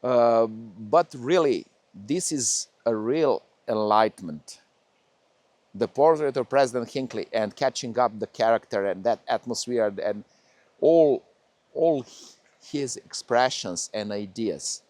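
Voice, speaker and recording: A man's voice: medium pitch, darkish tone, no echo. Serbian painter, male, hesitant and searching for words, mid-range voice, dry recording. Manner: hesitant, halting non-native English with many fillers. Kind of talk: interview conversation.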